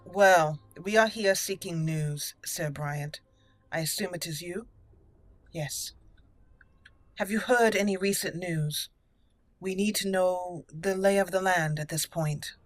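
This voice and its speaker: A woman's voice: fairly low pitch, takes it slowly, no room sound. A woman hosting a roleplaying let's play, speaking in character and commenting as she plays. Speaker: gaming channel host, player. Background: game music.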